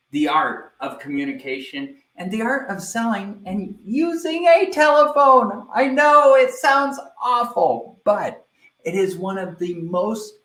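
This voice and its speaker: Man, sales trainer, slow deliberate delivery, roomy echoey sound, talks straight to the audience. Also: sits high. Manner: energetic, addresses viewers directly, frequent "right?". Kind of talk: live online training talk.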